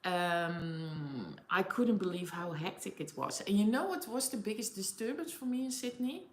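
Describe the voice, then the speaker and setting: A woman's voice: medium pitch, moderate pace. Chatty Dutch woman in an echoey room. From Netherlands. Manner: chatty, laughing often, reading out viewer comments. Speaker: livestream host. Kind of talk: casual live chat with viewers. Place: home in Auckland.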